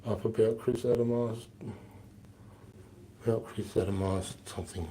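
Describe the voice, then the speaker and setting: A man in his forties with a lowish voice that sounds slightly worn from a flu. He answers a live stream's questions in a loose, rambling way, blunt and full of swearing. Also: steady tone, a little slow, dark tone, slight background noise, rough recording. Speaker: livestreamer answering viewer questions. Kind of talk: casual live Q&A chat.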